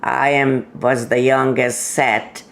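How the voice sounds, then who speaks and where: A woman in her nineties, from Hungary, telling her life story slowly in an interview, with many pauses and fillers. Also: extremely low pitch, little pitch movement, gravelly. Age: 90s. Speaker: interview guest. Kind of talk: oral history interview.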